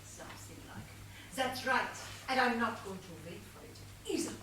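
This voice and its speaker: A woman acting a dreamy, eager maid in a comic stage whodunit. Her fairly high voice tells things dramatically, from a distance in an echoey, noisy theatre. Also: moderate pace, sharp tone. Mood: dramatic. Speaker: stage actor. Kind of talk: stage comedy murder mystery acting.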